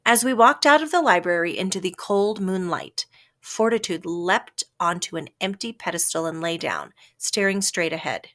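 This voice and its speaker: A woman reading a children's story aloud as scripted narration, with a dramatic, expressive delivery that varies for effect. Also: on the low side, normal speed. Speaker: storybook reader.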